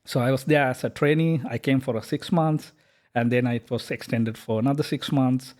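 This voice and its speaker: A man, a software company founder talking casually in an interview, hesitant with many fillers and restarts. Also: mid-range voice, fairly even intonation, a little fast. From Sri Lanka. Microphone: podcast microphone.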